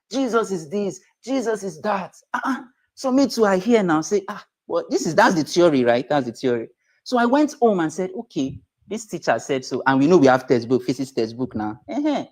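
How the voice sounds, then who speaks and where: Young Nigerian man, insistent debater. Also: fairly high pitch. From Delta State, Nigeria. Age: young adult. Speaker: caller on a live-stream debate. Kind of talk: conversational debate, some Pidgin. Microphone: live-stream call.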